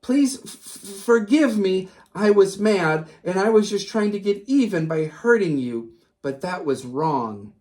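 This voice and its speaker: A woman's voice: mid-range voice, lively intonation, measured pace, darkish tone, lightly acted. A woman voicing a timid, earnest young booklet boy in a children's storybook. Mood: nervous, sorry. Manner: hesitant, stammering when nervous.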